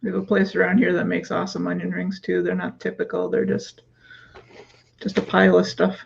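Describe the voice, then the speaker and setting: A woman's voice: on the low side, completely flat delivery, brisk, vocal fry. A woman in her fifties co-hosting a livestream, chatting freely and laughing often during a question game. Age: 50s. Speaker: co-host of a livestream. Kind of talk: casual question-game chat. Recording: dull lo-fi stream audio.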